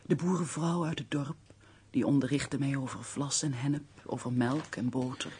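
An actress playing an earnest, refined young castle housekeeper in a radio drama, breathy, gentle and formal. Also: female voice, extremely low pitch, steady tone, moderate pace, a little hiss or hum.

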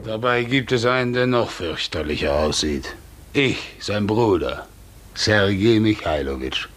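Grim ugly aristocrat, very low, rough husky voice, slow and heavy, radio drama. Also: male voice, animated, a bit bright, faint music behind. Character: count's fearsome-looking, ugly brother, gloomy nobleman. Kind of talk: 1950s German radio play monologue.

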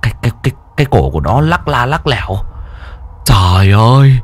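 A man's voice: mid-range voice, big pitch swings, a little fast, faint music behind. A man voicing a terrified young male henchman who stammers in panic.

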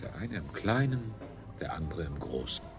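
An actor playing a smug, pleasure-seeking ruler of a space colony in a radio play, with a pompous, declamatory manner. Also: male voice, extremely low pitch, sing-song, moderate pace, exaggerated voice. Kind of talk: 1970s German radio play acting. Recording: music behind the voice.

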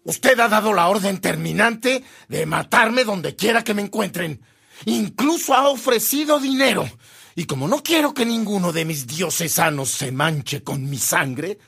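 Brave, gravelly-voiced pious bishop. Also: male voice, sits high, big pitch swings, unhurried, pushed and bright, heavily acted. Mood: solemn defiance. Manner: earnest, solemn. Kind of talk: radio drama acting.

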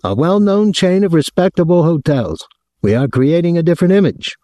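A man's voice: fairly high pitch, animated, moderate pace, dark tone, no echo, clean studio sound, voice pushed up, lightly acted. A man voicing an aggrieved, officious male hotel clerk in an audiobook, with a prim and pompous formal manner. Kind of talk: audiobook character voice.

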